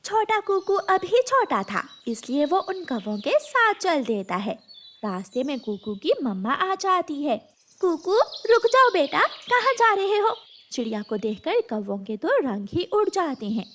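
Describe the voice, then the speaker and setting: A woman's voice: high-pitched, sing-song, a little slow, a bit bright, slight background noise. A woman telling a scripted children's story as its narrator.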